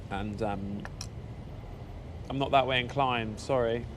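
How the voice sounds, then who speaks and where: A man's voice: medium pitch, fairly even intonation, takes it slowly, background noise. A man in his thirties with a British accent, chatting casually and self-deprecatingly to viewers on a live stream, talking into a small helmet mic. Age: 30s. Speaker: motorcycle vlogger streaming live. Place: outdoors in central London, in a café stop. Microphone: small action-camera mic on a helmet.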